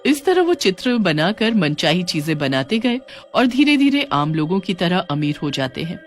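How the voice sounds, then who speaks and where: A man's voice: high voice, sing-song, normal speed, soft background music. A man narrating a scripted story as a storyteller.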